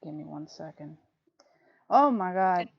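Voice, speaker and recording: A woman's voice: fairly low pitch, lively intonation, a little slow, gravelly, breathy edge, dry recording. A woman co-hosting a livestream podcast, chatting casually and rambling, laughing often and saying "freaking" a lot. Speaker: podcast co-host. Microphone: desk mic on a livestream. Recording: muffled lo-fi stream audio.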